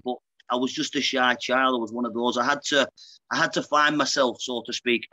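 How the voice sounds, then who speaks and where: A man's voice: mid-range voice, sharp tone, dry recording, rough recording. A man in his thirties with a Lancashire accent, a guest talking frankly and casually on a video call, often calling the host mate. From Lancashire, England. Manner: chatty, frank, keeps saying "mate". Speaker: guest, pro boxer. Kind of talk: casual interview chat. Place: indoor room, video call. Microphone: earbuds on a video call.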